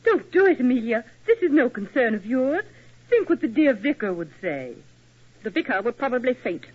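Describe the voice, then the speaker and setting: Brief: a formidable, haughty older spinster, brisk and bossy, husky, old lo-fi radio play. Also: female voice, on the high side, big pitch swings. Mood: imperious. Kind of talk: 1940s radio acting. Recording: lo-fi 1940s radio broadcast.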